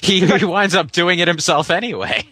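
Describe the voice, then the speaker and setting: A man's voice: sits high, moderate pace, sharp tone, slightly rough, dry recording. A man giving casual, joking commentary while playing a video game.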